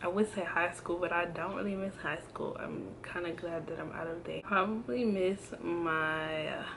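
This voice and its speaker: A woman in her early twenties chatting casually to camera, answering a list of questions in a relaxed, hesitant way with many pauses and restarts. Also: lowish voice, gravelly, background noise. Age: 20s. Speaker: vlogger. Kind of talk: casual tag video chat. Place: indoors at home, in front of a backdrop. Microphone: camera framed close, seated.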